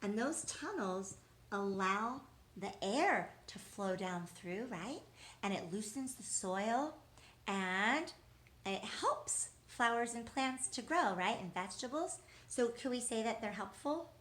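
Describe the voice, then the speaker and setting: A woman's voice: fairly high pitch, lively intonation, slow, fairly bright tone. A woman teaching young children in an encouraging way, asking questions, answering them as if replying to the children and repeating key words. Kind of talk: interactive lesson for young children.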